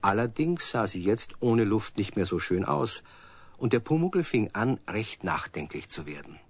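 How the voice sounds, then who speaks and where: A man's voice: on the low side, big pitch swings, moderate pace, husky, no room sound. A man narrating a children's radio play as a scripted storyteller.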